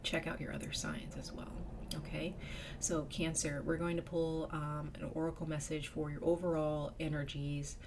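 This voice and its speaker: Woman, low pitch, slightly nasal, getting over a cold, chatty tarot reader, audible background noise. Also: flat delivery, normal speed. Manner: chatty, drops to a whisper in invocations. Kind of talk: casual tarot reading.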